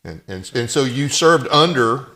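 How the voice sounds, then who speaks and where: A man hosting a casual interview, informal and a little halting, often affirming his guest. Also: mid-range voice, expressive, fairly bright tone. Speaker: interview host.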